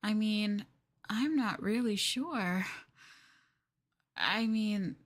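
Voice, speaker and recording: A woman playing an obsessive, sweetly possessive young girlfriend in an intimate ASMR roleplay, cooing and coaxing the listener with soft, breathy asides. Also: medium pitch, extremely slow. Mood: coaxing, dreamy.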